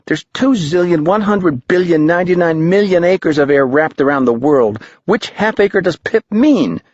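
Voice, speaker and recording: Man doing a sardonic young boy, storybook, raised boyish voice, husky, dull sound. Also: fairly high pitch, expressive, normal speed, darkish tone, dry recording, pitched above the performer's own voice. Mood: skeptical. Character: skeptical young boy, dry and sharp.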